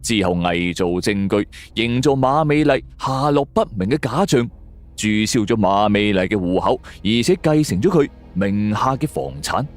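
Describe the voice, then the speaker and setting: Male audiobook narrator, fairly deep register with expressive melody, telling crime suspense in everyday colloquial style. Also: normal speed, soft background music. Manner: colloquial storyteller delivery. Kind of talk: dramatic suspense story narration in first person.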